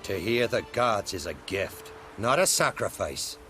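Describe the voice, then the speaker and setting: An actor playing a haunted young jarl who is fearful and fixated on the gods, speaking in a shaken, halting way, with music behind. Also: male voice, fairly low pitch, expressive, sharp tone. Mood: brooding. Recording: game audio with music behind.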